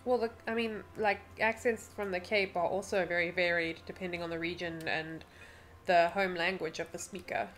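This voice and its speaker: A woman's voice: fairly low pitch, fairly even intonation, moderate pace, soft background music. A woman with an English South African accent, chatting casually while co-streaming a game, playful and prone to humming along. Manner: playful, hums and chants along. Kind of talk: casual gaming chat.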